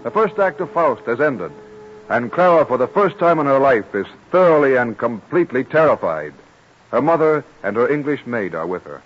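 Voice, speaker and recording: A man's voice: medium pitch, sing-song, moderate pace, husky. A man narrating an old radio drama in a formal, scripted manner. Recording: muffled old radio recording. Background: music.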